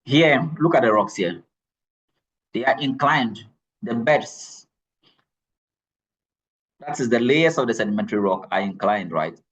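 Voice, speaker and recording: A man's voice: mid-range voice, animated, a little slow, pauses often, a bit bright, husky, poor audio. A man giving a geology lecture to students, repeating key points and checking in with "all right?". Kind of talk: classroom lecture.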